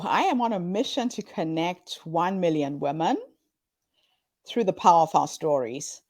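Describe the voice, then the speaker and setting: A woman in her fifties from Zimbabwe speaks with a lowish voice, earnest and upbeat as she answers interview questions. The sound is dry and close, with no room around it. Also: dry recording. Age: 50s. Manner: earnest, upbeat storytelling with warm laughs. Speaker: guest, founder of a women's story platform.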